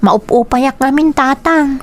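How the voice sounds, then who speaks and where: A woman's voice: high-pitched, measured pace. A woman acting in a radio drama as an earnest, dutiful eldest daughter, speaking with an Ilocano accent. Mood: discouraged.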